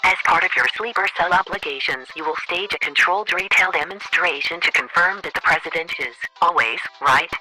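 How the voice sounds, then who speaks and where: A man voices an officious chief of staff leaving a satirical voicemail. The voice is rather high and extremely bright, formal and scripted throughout. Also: a little fast, few pauses, soft background music, poor audio. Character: officious government chief of staff issuing absurd orders.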